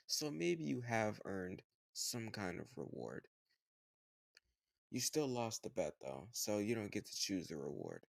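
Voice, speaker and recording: Male actor as slightly older home tutor for romantic audio roleplay: lowish creaky voice, close dry sound, teasing, patient, encouraging. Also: no room sound, full character voice. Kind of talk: scripted romantic audio roleplay, addressed to the listener.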